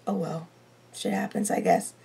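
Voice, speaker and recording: A raspy-voiced young woman chatting casually to camera from her bedroom, reacting to a TV show and swearing under her breath at small mishaps. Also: lowish voice, steady tone, normal speed, dark tone. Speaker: TV reaction vlogger. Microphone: laptop mic.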